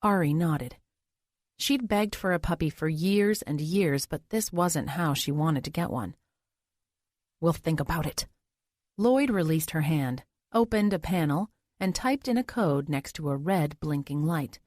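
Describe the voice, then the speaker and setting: A woman's voice: sits low, expressive, a little slow, a little mellow, dry recording, studio quality. A woman reading scripted thriller narration as an audiobook narrator.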